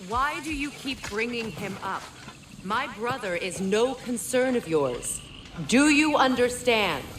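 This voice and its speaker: An actress playing a proud, stern goddess in a video game, speaking in formal, clipped, imperious phrases. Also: female voice, a little high, big pitch swings, slow pace, background noise. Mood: indignant, firm. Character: proud, stern, commanding middle-aged goddess. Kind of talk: video game character acting.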